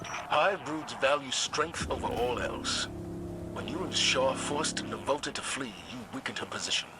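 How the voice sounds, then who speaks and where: An actor playing a grave, formal strategist in a video game, speaking deliberately. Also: male voice, mid-range voice, heavy background noise, natural voice. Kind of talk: scripted game dialogue. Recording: loud music over the voice.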